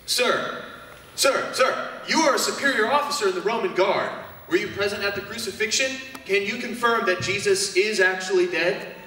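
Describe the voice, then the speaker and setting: A man's voice: high-pitched, normal speed, bright, forceful tone, a little hiss or hum. Man as urgent reporter, very echoey hall. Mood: urgent, probing. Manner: insistent, dramatic questioning. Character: urgent, probing TV news reporter on the scene. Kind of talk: news report in church stage drama. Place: church hall stage performance. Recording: very echoey hall, distant mic.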